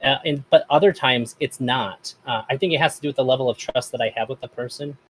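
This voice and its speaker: A man's voice: medium pitch, quick pace, a bit bright, husky. Host, a man with a voice that often reads as feminine, talking hesitantly in a middle register. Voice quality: voice that strangers hear as feminine. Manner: hesitant, with many fillers. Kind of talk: casual discussion.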